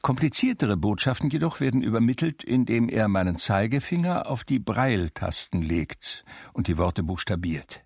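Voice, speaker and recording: Radio play narrator: melancholy ageing recluse, husky, low, measured, drily ironic. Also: male voice, lively intonation, no room sound, exaggerated voice. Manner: measured, reflective, dry irony. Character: Homer, melancholy ageing blind recluse recalling his life. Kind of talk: literary first-person radio drama narration. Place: dry radio studio.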